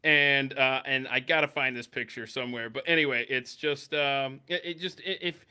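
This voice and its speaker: A man's voice: medium pitch, moderate pace, bright, forceful tone, clear, resonant tone. A man hosting a podcast, reminiscing casually in an effusive, emphatic way and repeating himself to stress a point. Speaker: podcast host.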